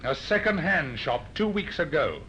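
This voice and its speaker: An actor playing an eager, dutiful police sergeant in a 1950s radio drama. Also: male voice, fairly high pitch, very animated, moderate pace, bright, forceful tone. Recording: muffled, noisy old radio recording.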